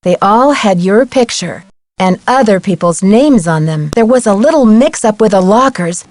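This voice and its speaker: A woman playing a curious, plucky young amateur detective in an adventure game, chirpy and clearly spoken. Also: mid-range voice, animated, moderate pace, darkish tone, dry recording, close to an everyday voice. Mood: concerned, probing. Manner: inquisitive, chirpy, clearly articulated. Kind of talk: scripted adventure game dialogue.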